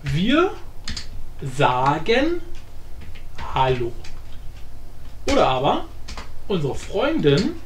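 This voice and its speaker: A man presenting a software tutorial casually, with informal, jokey asides and frequent self-interruptions. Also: fairly high pitch, wildly swooping pitch, drawn-out words, pauses often. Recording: roomy echo, faint background noise.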